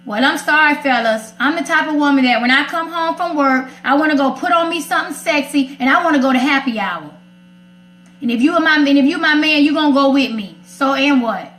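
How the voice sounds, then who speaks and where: High-voiced, sassy American woman. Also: brisk, fairly bright tone. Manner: outspoken, sassy, emphatic. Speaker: radio segment host. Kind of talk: casual opinionated chat. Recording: roomy echo.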